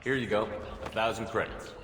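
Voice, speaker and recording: A man playing a calm, decent space soldier hero in a video game, direct and terse. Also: fairly low pitch, brisk, reverberant, crowd noise, close to an everyday voice. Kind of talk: video game dialogue acting.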